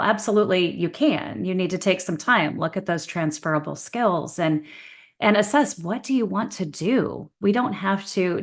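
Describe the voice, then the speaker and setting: A woman author and career coach talking as a guest in an interview, giving direct, motivational advice with confidence. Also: fairly low pitch. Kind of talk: casual interview chat.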